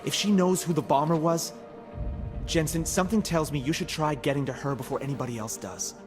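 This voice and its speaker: An actor playing a wry, secretive Interpol analyst in a video game, informal and conspiratorial in manner. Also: male voice, fairly high pitch, fairly quick, lightly acted. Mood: wry, urgent. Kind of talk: video game cutscene acting. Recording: music playing behind the voice.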